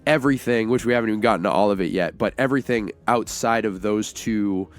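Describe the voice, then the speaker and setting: A man's voice: on the low side, quick pace, smooth, faint music behind. A man co-hosting a casual film discussion, talking in a conversational, rambling way and often acting out imagined lines. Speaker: podcast co-host reviewing a film.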